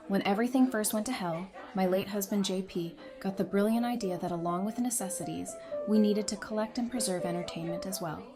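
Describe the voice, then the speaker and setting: A woman's voice: medium pitch, brisk, hardly any pauses, music in the background, close to an everyday voice. A woman playing a friendly, easygoing survivor in an audio drama, chatty, informal and reassuring. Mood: upbeat casual. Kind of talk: fan audio drama acting, casual dialogue.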